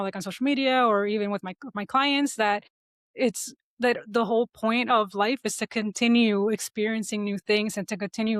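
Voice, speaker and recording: A woman's voice: mid-range voice, no echo, clean studio sound. A woman of thirty-nine talking casually and reflectively as a life coach guest in an interview.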